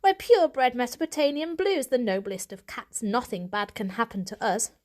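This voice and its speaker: A woman's voice: a little high, moderate pace, a little mellow, voice pushed up, natural voice. A woman voicing a plump, haughty aunt cat who speaks with dismissive self-assurance in a storybook. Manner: confident, dismissive.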